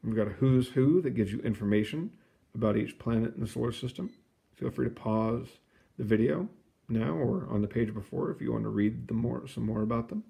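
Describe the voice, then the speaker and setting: A man reading a children's book aloud in an expressive, explanatory way, with casual asides. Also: medium pitch, fairly quick, creaky. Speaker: picture book reader.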